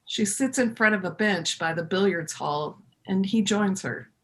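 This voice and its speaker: A woman reading as the reflective first-person narrator of a readers theater piece, in measured short phrases. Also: mid-range voice, close to an everyday voice.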